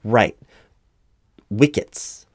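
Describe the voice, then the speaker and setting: A man playing a recruiting manager in a soft-of-script, improvised ASMR interview roleplay, speaking aloud in a halting, officious, explanatory way. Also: no echo, clean studio sound. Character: flustered, officious male recruiting manager at a company. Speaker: job interviewer in a one-sided roleplay.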